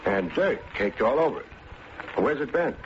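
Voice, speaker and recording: An actor playing a wry, wisecracking American private detective and narrator in an old-time radio drama. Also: male voice, medium pitch, lively intonation, normal speed, natural voice. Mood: curious. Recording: muffled, noisy old radio recording.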